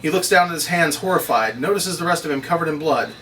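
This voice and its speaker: A man with a fairly high, very bright, forceful voice narrates stage directions from a script at a table read. He is recorded from a little distance, with faint noise in the room. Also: fast. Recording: distant microphone, noisy room.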